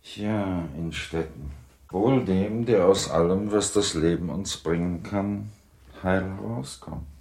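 A low, dark male voice as a resigned senior Prussian official in a radio drama. He speaks slowly and ponderously, with a gravelly creak.

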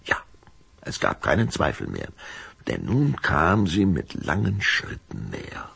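Male narrator, children's radio drama: very animated intonation, unhurried, suspenseful, husky tone. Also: extremely low pitch, no echo. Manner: suspenseful, expressive. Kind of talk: dramatic scripted storytelling for a children's radio play.

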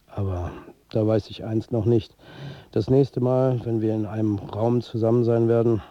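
A man's voice: fairly low pitch, fairly even intonation, normal speed, dry recording, muffled recording, natural voice. An actor playing a weary, blunt, foul-mouthed football manager who tells his own story in a radio drama. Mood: wry, reflective. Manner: blunt, sweary, colloquial. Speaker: narrator and lead actor. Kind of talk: first-person radio drama narration.

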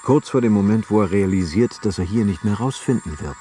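A man acting as a co-narrator in a radio drama, commenting in measured fragments on someone's inner state. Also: fairly low pitch, lively intonation, lightly acted. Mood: grave. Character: deep-voiced male co-narrator describing a failing man's inner state. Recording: music behind the voice.

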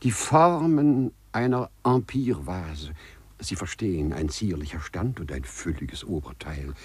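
Very husky gallant lovesick gentleman thief, old radio drama, super polite, swoops up and down. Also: deep voice, very animated, no echo, full character voice. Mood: dreamy, enamoured. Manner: formal, florid, overpolite, halting politeness. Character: courtly, infatuated male gentleman burglar who walks through walls. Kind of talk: 1950s German radio play acting.

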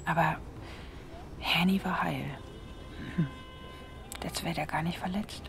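A shaken young man in a radio drama. He talks slowly and haltingly in a creaky voice, with clear background noise. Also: fairly high pitch, fairly even intonation. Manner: halting, hesitant. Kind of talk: naturalistic radio drama dialogue.

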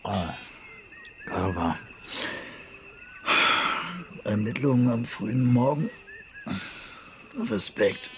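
An actor playing an investigator's colleague in naturalistic radio drama dialogue. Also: male voice, fairly low pitch, animated, extremely slow, pauses often, a little mellow, slight background noise.

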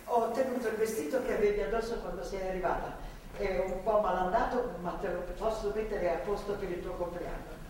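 An actress playing a patient, devout older woman guarding a captive in a stage drama, with calm, brief, measured replies. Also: mid-range voice, natural voice. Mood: conciliatory. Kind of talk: theatrical stage drama acting. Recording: echoey, distant, noisy theatre recording.

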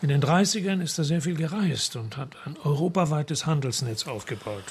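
A man's voice: on the high side, lively intonation, moderate pace, slight background noise. An actor playing a well-spoken antiques dealer under questioning in a radio crime drama, formal and careful in his phrasing. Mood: guarded.

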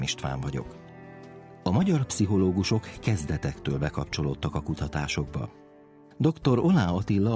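A man's voice: a bass voice, a little mellow. A man reading a scripted introduction as the host of a science radio programme.

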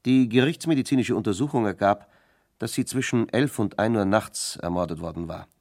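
A man's voice: lowish voice, fairly quick, a little mellow, no echo, clean studio sound. A man reading scripted narration for a radio crime play in a sober, factual manner.

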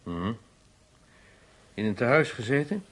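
A probing interrogator, husky, with a lively, swinging pitch. He puts short, terse questions in a radio drama. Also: unhurried. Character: probing male interrogator questioning a young boy. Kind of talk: radio play acting.

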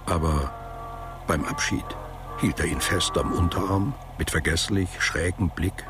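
A man's voice: deep voice, measured pace, music in the background. A man reading literary prose as the scripted narrator of a radio drama.

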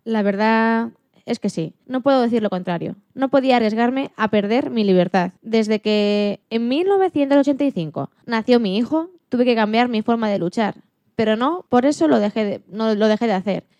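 A woman's voice: on the high side, expressive, moderate pace, dark tone, dry recording, muffled recording. A woman playing an animal liberation activist and mother who tells her story earnestly in an acted radio interview, stumbling slightly now and then. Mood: earnest, solemn.

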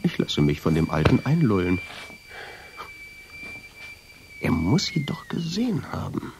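An actor playing a weary, intrigued male traveler, telling his story as a radio drama narrator in a measured, brooding way. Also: fairly low pitch, huge pitch swings, background noise. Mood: musing. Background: music.

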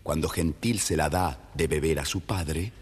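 A man playing a friendly storybook narrator for children, husky and expressive, with long reverb. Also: a bass voice, unhurried, noisy background. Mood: chatty, questioning.

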